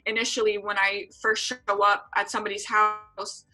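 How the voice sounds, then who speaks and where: A woman's voice: mid-range voice, little pitch movement, moderate pace, bright, forceful tone, low-quality recording. A woman in her twenties from San Diego, answering interview questions earnestly with frequent filler words. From San Diego, California. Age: 20s. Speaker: interview guest, EMT.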